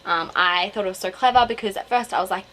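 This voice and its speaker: A woman's voice: medium pitch, quick pace, a bit bright, slight background noise, rough recording. A young woman with an Australian accent, talking casually and digressively to camera as a book review vlogger. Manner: rambling, digressive, self-interrupting.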